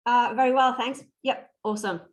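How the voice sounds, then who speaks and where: A woman in her forties from Wales with a Welsh accent softened by New Zealand, answering interview questions in a measured, polite way. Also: a little high, steady tone. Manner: measured, polite, with frequent ums. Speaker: podcast guest, bikini competitor.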